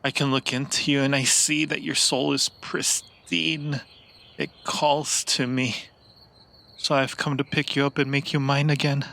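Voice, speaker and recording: A man acts out an ancient vampire lover for an ASMR roleplay. He draws out his words very slowly, with a heavy creak and a crisp, intense edge. His manner is romantic, possessive and theatrical, full of lingering pauses. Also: mid-range voice, level tone, pushed and bright. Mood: yearning, earnest. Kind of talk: improvised ASMR roleplay addressed to the listener.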